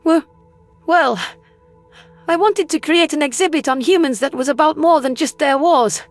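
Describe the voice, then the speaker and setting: A woman's voice: high-pitched, moderate pace, faint music behind, close to an everyday voice. A woman playing a traumatized, bitter female alien historian in an audio drama, agitated and intense, prone to stammering. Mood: shaken, hesitant. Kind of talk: dramatized audiobook character acting.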